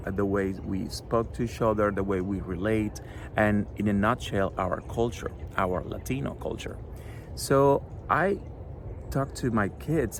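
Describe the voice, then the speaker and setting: A middle-aged man from Cuba, a Spanish native speaker with a Cuban accent, talks earnestly to a school audience about his children's book, pausing often with fillers. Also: fairly low pitch, slight background noise. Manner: earnest, halting, many fillers. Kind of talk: recorded address to a school audience.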